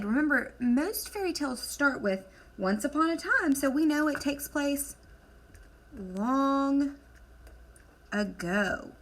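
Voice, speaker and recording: Bright-voiced woman teaching children slowly. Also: on the high side. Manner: encouraging, deliberate, dictating words as she writes. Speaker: elementary school teacher. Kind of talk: instructional lesson for children, question and answer.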